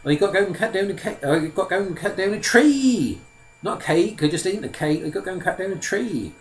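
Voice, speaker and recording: Man doing a higher-pitched, naive Russian-accented simpleton. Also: very animated, brisk, slight background noise. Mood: agreeable, earnest. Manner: simple, broken grammar, eager to please. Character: naive, good-natured young simpleton son.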